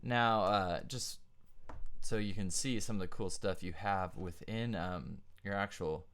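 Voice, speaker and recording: A young man walks through a music production tutorial in a relaxed, unscripted way. His voice sits very low and comes across smooth and clear. He fills the gaps with ums and uhs as he works things out aloud. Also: unhurried. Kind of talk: casual screen-share tutorial.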